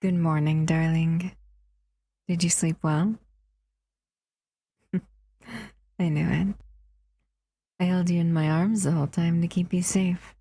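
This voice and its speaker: Casting: actress as a possessive female monster for audio roleplay, unhurried and slightly low, cooing and teasing. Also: level tone, dark tone, dry recording. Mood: tender. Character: possessive, doting female monster, captive in a lab. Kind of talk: intimate audio roleplay acting.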